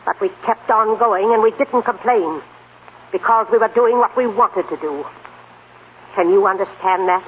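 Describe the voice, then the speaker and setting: A woman's voice: medium pitch, normal speed, close to an everyday voice. A feisty, no-nonsense old grandmother played by an actress in a 1940s radio drama. She is blunt but encouraging. The sound is tinny and narrow, with light noise behind it. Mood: feisty, resolute. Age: older.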